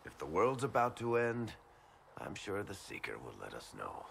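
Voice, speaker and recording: An actor playing a world-weary but teasing male adventurer in a video game, with a deep gravelly voice and a wry manner. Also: big pitch swings, moderate pace, a bit bright, a little hiss or hum, exaggerated voice. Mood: lightly teasing. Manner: wry, sardonic, conversational. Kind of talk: video game character dialogue.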